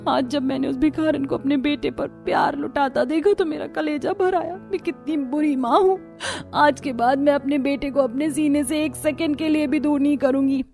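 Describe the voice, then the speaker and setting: A woman's voice: high voice, moderate pace, hardly any pauses, a little mellow, soft background music. A woman voicing a remorseful young mother in a cartoon story, pleading and emotional as she begs forgiveness.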